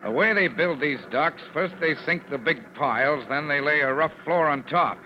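An actor playing a gruff, decisive fire lieutenant who barks orders brusquely in an old-time radio drama. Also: male voice, on the high side, normal speed, natural voice. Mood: thoughtful, brisk. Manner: brusque, commanding, barking orders. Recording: dull, noisy old radio recording.

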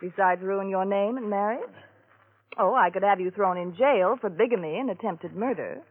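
An actress playing a cool, mocking American blackmailer, a scorned wife in a 1940s radio drama. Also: female voice, medium pitch, normal speed, close to an everyday voice. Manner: mocking, drawling endearments. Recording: tinny old radio broadcast.

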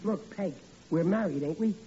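A man's voice: sits high, normal speed, mellow, close to an everyday voice. A man playing a blustering wisecracking radio dad. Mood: boastful. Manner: brash, wisecracking, Brooklyn-style tough talk. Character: boastful working-class dad, blustering and dim. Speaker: lead actor as blustering family man. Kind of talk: 1940s radio sitcom acting. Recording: dull old radio broadcast with faint hiss.

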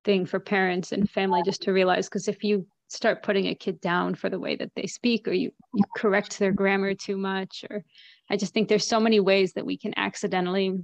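A woman's voice: mid-range voice, flat delivery, brisk, gravelly, dry recording, poor audio. A woman hosting a casual interview podcast, encouraging her guest with frequent affirming murmurs. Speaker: podcast host.

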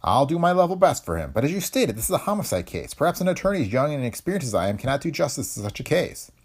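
A reluctant young lawyer, blunt and dry, voiced by a man pitching up from his low natural tone. His speech rises and falls a good deal and carries a husky grain. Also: very animated, rapid-fire.

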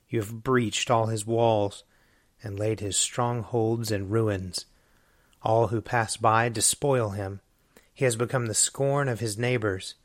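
Guy reading psalms, solemn and even, dry close sound. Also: mid-range voice, no room sound. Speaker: scripture reader.

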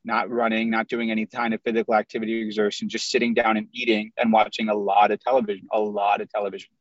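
A man's voice: fairly low pitch, little pitch movement, fast, no room sound. A man in his thirties from the American Midwest, candidly telling his life story as a guest on a video call interview. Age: 30s. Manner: candid, storytelling, lists things in runs. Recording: dull lo-fi video call audio.

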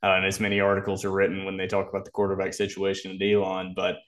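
Man, lowish voice, casual sports analysis, podcast co-host. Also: monotone, fast talker, a bit bright.

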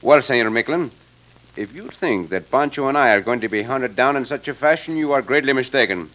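An actor playing a suave Mexican adventurer in old radio drama, with a Mexican accent and a courtly, wry manner. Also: male voice, medium pitch, animated, brisk, lightly acted. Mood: defiant, controlled. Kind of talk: 1940s-50s radio drama acting. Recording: dull old radio recording.

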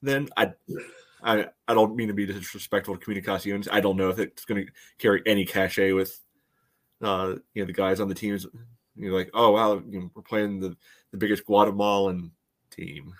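A man co-hosting a sports podcast, giving his views on soccer in a measured, matter-of-fact, conversational way. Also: fairly low pitch, little pitch movement. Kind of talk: casual soccer chat.

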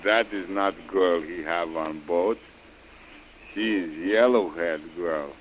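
Slow, deep-voiced henchman. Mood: dutiful. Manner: halting broken English, subservient. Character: big, obedient henchman with a slow, simple manner. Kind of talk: 1930s radio adventure acting. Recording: muffled old radio recording, faint noise.

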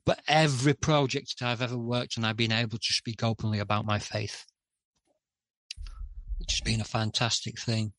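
A middle-aged man speaking candidly as a podcast guest, hesitant with many pauses and restarts. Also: mid-range voice, little pitch movement, fairly bright tone, dry recording, rough recording. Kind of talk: candid interview talk.

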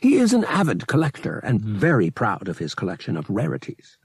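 A man's voice: fairly low pitch, huge pitch swings, fairly quick, slightly rough, dry recording, studio quality, full character voice. A man voicing a proud alien priest and guide in an audiobook, speaking in a formal, announcing manner. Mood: formal pride. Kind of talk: audiobook character voice.

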